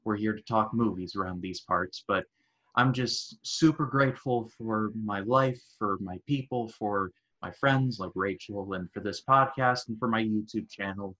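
Twenties male co-host, casual and punny, low-pitched, muffled podcast audio. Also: moderate pace, a bit bright. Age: 20s. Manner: rambling, jokey, self-deprecating puns. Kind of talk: casual chat.

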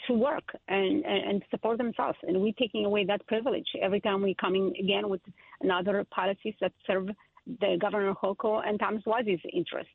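Creaky woman on a phone line. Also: mid-range voice, fairly even intonation, no room sound. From Ethiopia, raised in Israel. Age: 30s. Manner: halting, many uh fillers and restarts. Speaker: political candidate. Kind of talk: phone interview answers. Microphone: telephone.

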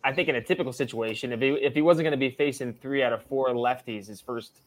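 Mid-pitched American man, casual guest. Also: fast. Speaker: former college baseball player, guest. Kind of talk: casual analytical reminiscing.

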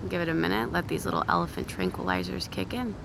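An actress playing a blunt, exhausted survivor in a naturalistic audio drama, casual and sweary. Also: female voice, fairly low pitch, big pitch swings, fast talker, exaggerated voice. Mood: weary, frank. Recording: clear car noise behind the voice.

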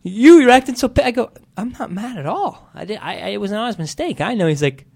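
A man co-hosting a casual chat, telling a story in a halting way with many restarts. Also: sits high, big pitch swings, gravelly, a little mellow, no echo. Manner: halting, restarts a lot when telling stories.

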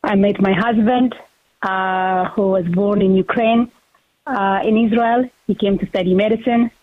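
A woman in her forties from Ethiopia, a guest candidate speaking earnestly with many pauses and fillers. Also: medium pitch, steady tone, no echo. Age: 40s. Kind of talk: phone interview on talk radio. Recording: tinny phone line.

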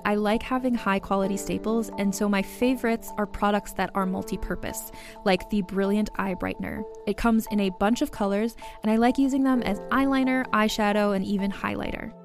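A woman reading ad copy in an upbeat, smooth way. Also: mid-range voice. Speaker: podcast host reading ads. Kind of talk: read ad copy.

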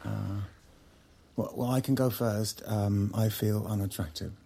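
An actor playing a wounded, self-conscious husband in an audio drama, stammering and hesitant with some resentment. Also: male voice, on the low side, fairly even intonation, unhurried, vocal fry, mellow, a little hiss or hum. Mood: embarrassed, hurt. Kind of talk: naturalistic audio drama acting.

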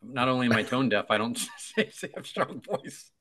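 A man's voice: mid-range voice, lively intonation, moderate pace, sharp tone. A man co-hosting a casual podcast chat, joking and laughing often. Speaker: podcast co-host.

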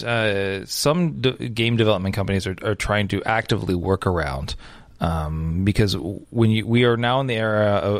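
A man's voice: fairly low pitch, dry recording. A man co-hosting an esports podcast in casual chat, rambling with fillers and laughter. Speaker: podcast co-host.

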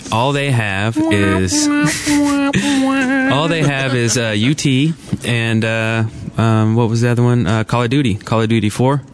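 A man's voice: medium pitch, very animated, measured pace, music in the background. A man co-hosting a live podcast at a convention, telling a rambling story full of fillers. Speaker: podcast co-host. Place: convention floor.

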